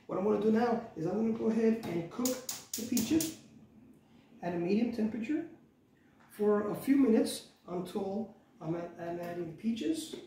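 Middle-aged man, home cooking host, relaxed step-by-step instruction, roomy kitchen sound, distant camera mic. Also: high voice, normal speed, a little hiss or hum. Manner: relaxed, instructive, self-correcting, repeats "go ahead and". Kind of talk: casual step-by-step cooking demonstration. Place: large bright home kitchen, indoors. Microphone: camera mic from across the kitchen.

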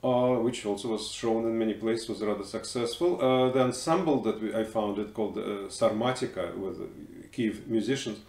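A man in his fifties from Moscow with a Russian accent, giving a thoughtful, halting personal testimonial. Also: lowish voice, darkish tone, reverberant. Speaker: musician and teacher. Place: living room, indoors.

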